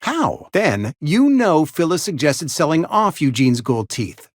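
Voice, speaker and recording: An actor playing a fussy, mournful, company-loyal frontier barber and undertaker in a video game, speaking in formal, wordy, over-polite phrases. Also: male voice, a little high, very animated, a little slow, dry recording, studio quality. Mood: prim, matter-of-fact. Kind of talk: theatrical video game character acting.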